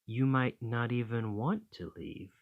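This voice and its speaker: A man's voice: medium pitch, a little slow, phone-quality sound, lightly acted. A man playing an affectionate, slightly possessive dragon boyfriend in an ASMR romantic roleplay, speaking tenderly and reassuringly. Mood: clingy, earnest.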